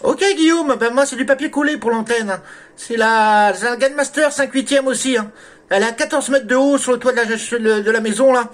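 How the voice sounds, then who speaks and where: A man with a high voice talks as an amateur radio operator. His style is casual and conversational, and he often closes his phrases with short tag words. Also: moderate pace, fairly bright tone. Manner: frequent "hein" and "là" tags. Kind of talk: casual radio contact chat. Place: at home radio station. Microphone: desk microphone through a mixer.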